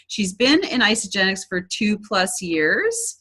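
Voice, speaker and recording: A woman's voice: mid-range voice, very animated, a little slow, bright, forceful tone. A woman hosting a casual online business presentation, chatty and upbeat, with frequent ums and restarts. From Canada.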